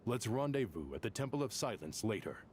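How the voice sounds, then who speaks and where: Deep-voiced, dignified leader. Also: male voice, big pitch swings, very noisy. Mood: authoritative. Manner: formal, measured. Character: solemn, courteous, dignified leader of his people. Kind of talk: anime-style video game voice acting.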